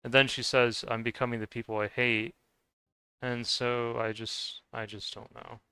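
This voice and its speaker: A man's voice: mid-range voice, flat delivery, moderate pace, sharp tone, clear, resonant tone, no room sound. A young man with a slight Scottish-tinged accent, chatting casually and hesitantly while playing a game, often restarting and trailing off. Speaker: gaming video creator. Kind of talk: casual rambling commentary over gameplay.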